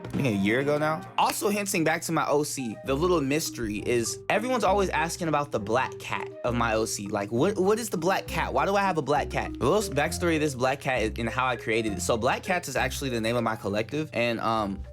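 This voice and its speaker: A young man answering fan questions in a loose, chatty way, his voice sitting on the higher side and cracking now and then. He talks easily and keeps dropping casual fillers. Faint music plays quietly behind him. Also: fast, hardly any pauses. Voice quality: fairly high voice that sometimes cracks. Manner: relaxed, chatty, lots of fillers like "you know what I'm saying". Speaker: YouTuber and rapper. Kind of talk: casual Q&A vlog.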